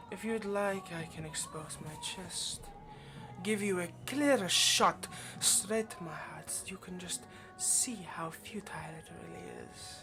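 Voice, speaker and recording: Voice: mid-range voice, close to an everyday voice. A possessive yandere vampire, acted out by an ASMR performer, speaks with haughty, teasing condescension in an intimate roleplay. The obsessive, smug delivery plays out over very prominent music. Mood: mocking. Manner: teasing, haughty, flustered stammers.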